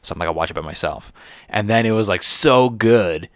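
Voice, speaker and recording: A man in his thirties with a fairly low voice talks in a casual, slangy podcast style. The sound is close and dry, with little room around it. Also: expressive, fairly quick, no echo. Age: 30s. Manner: informal, slangy, frequent swearing. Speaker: comedian, podcast host. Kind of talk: casual chat.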